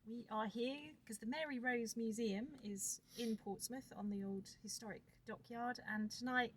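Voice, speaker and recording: A woman's voice: on the high side, steady tone, normal speed, fairly bright tone, slight background noise. Female co-host, informative casual chat outdoors, slightly distant from the mic. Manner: informative, explaining the plan. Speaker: podcast co-host. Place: outdoors by the sea on a windy day.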